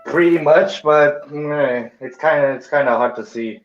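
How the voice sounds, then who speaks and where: A man joins a casual online chat. His voice is slightly high for a man. The sound is dull and strongly roomy. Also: unhurried. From Romania. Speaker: stream chat participant. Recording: dull, roomy audio with music behind.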